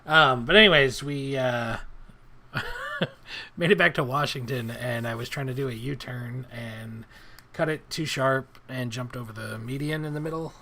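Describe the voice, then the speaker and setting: A man's voice: mid-range voice, sing-song, a little slow, bright, forceful tone. A man co-hosting a casual podcast, telling a story in a laid-back way and laughing often. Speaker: podcast co-host. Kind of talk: casual chat.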